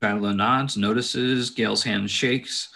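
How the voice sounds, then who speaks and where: A man's voice: fairly low pitch, steady tone, takes it slowly, creaky, pushed and bright. A man directing a table read, reading stage directions aloud and adding casual asides.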